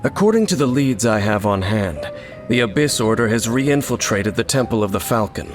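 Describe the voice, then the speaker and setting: An actor playing a stoic young male wanderer in a game, calm and measured. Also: lowish voice, close to an everyday voice. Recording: game audio with music underneath.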